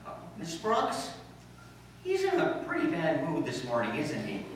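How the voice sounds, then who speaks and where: A man playing an eager, anxious high school student, polite and boyishly complaining, in an old-time radio comedy. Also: wildly swooping pitch, a little slow. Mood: plaintive. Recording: very echoey, distant, noisy room recording.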